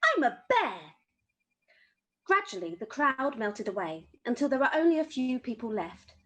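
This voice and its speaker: A woman's voice: on the high side, big pitch swings, normal speed. A woman reading a children's story aloud as an amateur storyteller.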